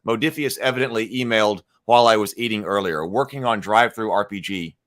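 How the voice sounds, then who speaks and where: A man's voice: fairly low pitch, quick pace, fairly bright tone. A man hosting a casual live stream, thinking aloud with frequent restarts and fillers and answering viewers' chat. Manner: reads viewer chat comments aloud and replies. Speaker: livestream host.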